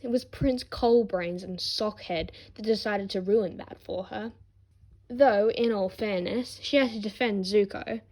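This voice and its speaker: A woman's voice: a little high, darkish tone. A woman narrating a scripted fan story expressively, with wry, dramatic emphasis as an amateur reader.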